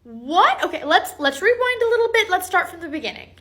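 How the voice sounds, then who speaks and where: A woman's voice: very high-pitched, very animated, brisk, fairly bright tone, heavily acted. A woman playing a firm, exasperated female schoolteacher in a comedy skit, with a dry, sarcastic, no-nonsense manner. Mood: concerned.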